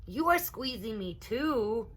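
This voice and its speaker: Woman doing a high-pitched, blunt little boy. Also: very animated, takes it slowly, fairly bright tone, breathy edge, heavily acted. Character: little boy Willie, blunt, squabbling with his playmate. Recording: noticeable background noise.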